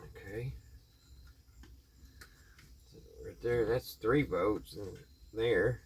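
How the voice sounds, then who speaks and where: A man's voice: fairly low pitch, drawn-out words, slightly rough. A man hosting a casual hands-on vlog, talking to viewers in a folksy way and thinking aloud as he works. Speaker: vlog host.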